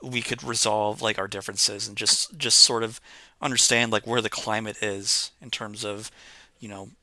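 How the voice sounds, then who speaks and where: A man's voice: lowish voice, fairly even intonation, moderate pace, bright, forceful tone, no echo. A man hosting a casual online chat, talking loosely with lots of filler words. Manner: rambling, filler-heavy with many "like"s. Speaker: podcast host.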